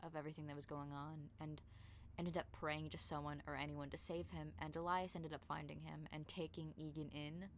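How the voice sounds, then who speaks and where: A woman's voice: deep voice, little pitch movement, brisk, a little hiss or hum. A young woman in casual voice-call chat as a tabletop game player, rambling with many fillers. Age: young adult.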